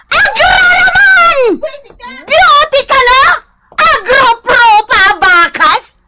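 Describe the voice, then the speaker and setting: A woman's voice: very high-pitched, animated, drawn-out words, sharp tone, raised voice, exaggerated voice. A woman playing a combative, protective mother in a radio comedy drama, scolding and taunting. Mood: shrill scolding. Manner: scolding, taunting, spitting insults. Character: hot-tempered, combative village mother, shrill and protective of her son.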